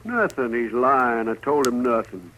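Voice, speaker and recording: An American actor playing a cocky, nervy, hot-tempered gunman in a 1950s radio western, with a brash, excitable way of talking. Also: mid-range voice, measured pace. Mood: wary. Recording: noisy old 1950s radio recording.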